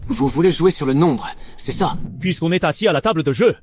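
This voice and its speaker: A man playing a brash young street fighter in a dubbed animated series, swaggering and combative. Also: fairly high pitch, a little fast, a little hiss or hum, lightly acted. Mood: cocky glee.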